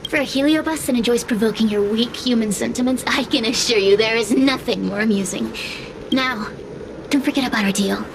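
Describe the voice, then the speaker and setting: A woman's voice: fairly high pitch, a little fast, noisy background, close to an everyday voice. A woman playing a smug predatory demon spirit in a video game, languid, gloating and menacing. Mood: gloating, sinister. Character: smug predatory female demon spirit savouring human sorrow. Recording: loud music behind the voice.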